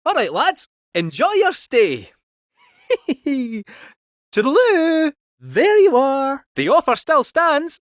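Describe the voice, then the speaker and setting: A man's voice: very high-pitched, wildly swooping pitch, slow, no echo, clean studio sound, heavily acted. A man voicing a cheeky, talkative male fortune-telling robot cat in a video game, with a Scottish accent and cheery sing-song salesman patter. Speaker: game character. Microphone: close studio mic.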